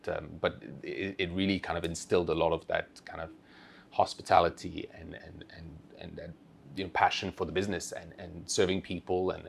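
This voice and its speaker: A man in his thirties, a company founder, answering interview questions in a relaxed, hesitant way with many fillers and restarts. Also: deep voice, creaky, slightly rough. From Switzerland-born, raised in Asia. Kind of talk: conversational interview.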